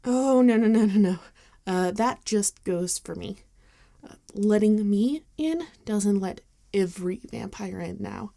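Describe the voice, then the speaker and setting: A woman acts out a gentle vampire friend in an ASMR roleplay. Her voice is mellow with a creaky edge, and she talks slowly and hesitantly, caring and apologetic. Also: mid-range voice, no echo, studio quality. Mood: caring, reassuring. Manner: hesitant, gentle, halting with soft sighs. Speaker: ASMR voice actor. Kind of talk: ASMR roleplay acting, intimate and conversational.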